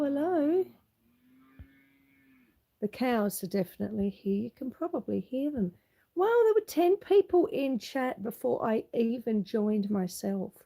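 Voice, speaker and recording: A middle-aged Australian woman with a husky, hoarse voice from a cold, chatting casually as she greets viewers on a live stream from her homestead, filming on her phone outdoors. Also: high voice, mellow. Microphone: phone camera.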